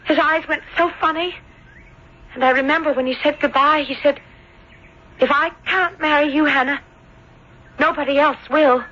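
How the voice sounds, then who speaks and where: An actress playing an anxious, devoted young sweetheart in an old-time radio drama, fretful and emotional. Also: female voice, high voice, steady tone, normal speed, long pauses, sharp tone, muffled recording. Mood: fearful. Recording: thin, hissy old radio recording.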